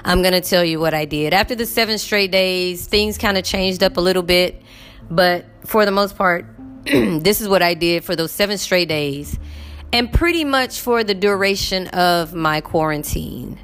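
A woman's voice: fairly low pitch, moderate pace, fairly bright tone, faint music behind. A woman in her fifties chatting casually to her viewers, rambling with lots of fillers while getting over a cough. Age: 50s. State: recovering from illness, cough. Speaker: vlogger.

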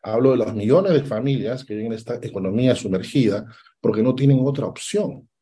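A man, a political analyst answering interview questions, deliberate and sometimes stopping to search for a word. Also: mid-range voice, warm tone, breathy edge. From Peru. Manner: deliberate, stops to search for words.

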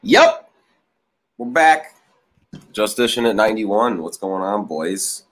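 A man's voice: on the low side, big pitch swings, slow pace, a bit bright. A young man hosting a casual podcast chat, nasally with a stuffy nose, brash and swearing freely. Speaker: podcast host.

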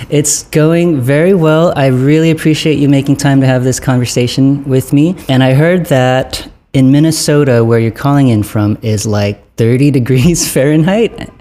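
Mellow-voiced man hosting a chat. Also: medium pitch, moderate pace, few pauses. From Vietnam. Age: 30s. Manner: friendly, laughs often, relaxed pace. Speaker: podcast host. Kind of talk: casual interview chat.

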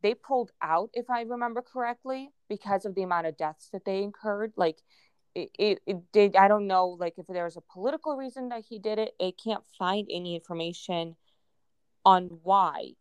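A woman's voice: mid-range voice, moderate pace, darkish tone, clean tone, no room sound. A woman from Ukraine whose first language is Russian, co-hosting a casual podcast chat in an earnest, circling way with many fillers. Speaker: podcast co-host.

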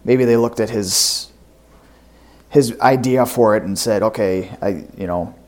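A man's voice: on the low side, unhurried, a little mellow. A man chatting casually about movies as a podcast co-host.

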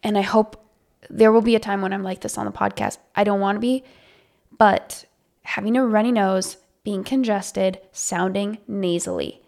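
A woman co-hosting a podcast in casual chat, a bit congested and nasal, self-deprecating and quick to laugh at herself. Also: mid-range voice, fairly even intonation, vocal fry, darkish tone. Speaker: podcast co-host. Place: home podcast setup.

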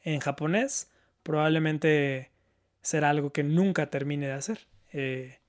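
Man, fairly high voice, casual, reflective, halting, repeats words. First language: Spanish. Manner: halting, thoughtful, repeats words while searching for phrasing. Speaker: panelist, learner of Japanese.

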